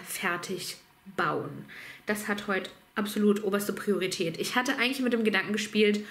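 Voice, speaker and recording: A woman's voice: medium pitch, a bit bright. Woman, 30s, casual home vlogger with a cold, frank and rambling, close camera mic. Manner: chatty, frank, self-deprecating with filler ums. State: slightly sick with a cold. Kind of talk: casual vlog chat. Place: home, small room indoors.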